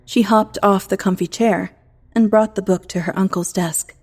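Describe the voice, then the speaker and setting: A woman narrating scripted fiction in a measured storybook manner. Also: mid-range voice, steady tone. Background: music score.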